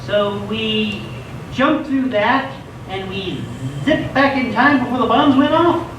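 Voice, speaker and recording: Casting: male performer as earnest, naive bee hero, high animated voice, echo-heavy stage radio drama. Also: takes it slowly. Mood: puzzled. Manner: excitable, earnest. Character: eager, naive bee-themed male superhero. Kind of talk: live radio theatre acting. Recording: very echoey hall, distant mic, faint noise.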